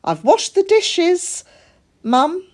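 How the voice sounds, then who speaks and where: An eager little boy cat from a children's storybook as a woman reader plays him. He is boastful yet earnest, speaking high and emphatically with lively swings in pitch. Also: drawn-out words, heavily acted. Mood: proud, eager.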